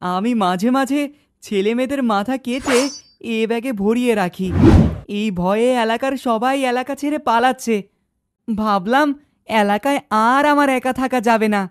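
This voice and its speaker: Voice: medium pitch, moderate pace, clean tone, natural voice. An actor voicing a bossy, precocious little village boy in a cartoon, self-assured and threatening. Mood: calm boasting. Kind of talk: cartoon dialogue acting.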